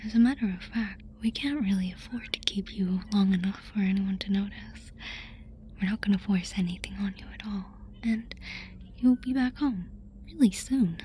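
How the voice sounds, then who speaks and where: A woman playing a casual, eager, playful female alien in an ASMR roleplay, speaking in an informal, breathy whisper. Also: mid-range voice, normal speed, mellow, slight background noise, lightly acted. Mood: reassuring. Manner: informal, reassuring.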